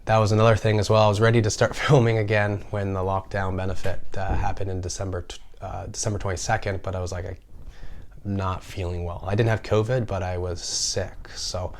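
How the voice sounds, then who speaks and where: A man with a slightly raspy voice from a recent cold, talking casually as the host of an informational vlog. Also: low voice, little pitch movement, a little fast, a little hiss or hum. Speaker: personal finance video host.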